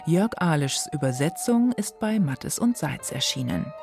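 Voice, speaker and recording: A woman hosting radio with a scripted presentation, speaking pointedly with deliberate dramatic pauses. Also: low voice, very animated, gravelly. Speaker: radio host. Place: radio studio. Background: music.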